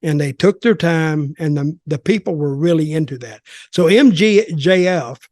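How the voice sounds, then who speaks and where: A man's voice: on the high side, expressive, moderate pace, a little mellow, no room sound, studio quality. An older man in casual, rambling, opinionated talk about wrestling as a podcast commentator.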